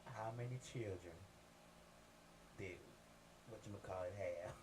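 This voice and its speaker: A man's voice: fairly low pitch, a little slow, darkish tone, far from the mic, poor audio. A man bantering casually as a sidekick on a radio show, a bit away from the mic with some background noise.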